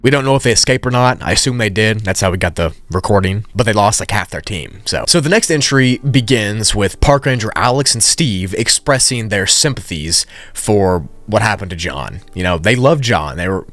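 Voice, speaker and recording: Low-voiced man casually retelling a story. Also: lively intonation, normal speed, hardly any pauses, a bit bright. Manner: conversational, informal asides. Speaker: video narrator, storyteller. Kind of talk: casual story recap commentary.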